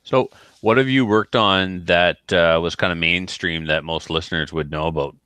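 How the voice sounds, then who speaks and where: A man's voice: low-pitched, normal speed, sharp tone, smooth, no echo. A man hosting a podcast, asking questions and chatting casually. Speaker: podcast host, interviewer. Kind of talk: casual interview chat.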